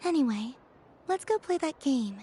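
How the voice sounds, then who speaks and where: A woman playing a serene, dreamy young maiden in a video game, soft and gentle, matter-of-fact even when teasing. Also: high-pitched, normal speed, slight background noise. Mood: deadpan, serene. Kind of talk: dramatic video game voice acting. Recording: music behind the voice. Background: music.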